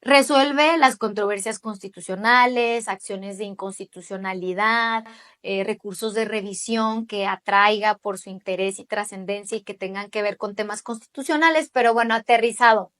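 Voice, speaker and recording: A woman's voice: mid-range voice, moderate pace, a bit bright. A woman in her late thirties with a Mexican accent gives explanatory interview answers, emphatic and often checking in with short tag questions. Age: late 30s. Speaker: interviewee, judicial candidate.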